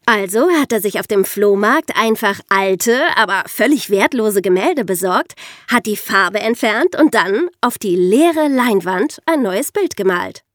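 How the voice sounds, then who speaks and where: A woman's voice: medium pitch, lively intonation, unhurried, a bit bright, no echo, studio quality. A woman hosting a podcast, explaining things in a storytelling way with rhetorical questions. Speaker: podcast host.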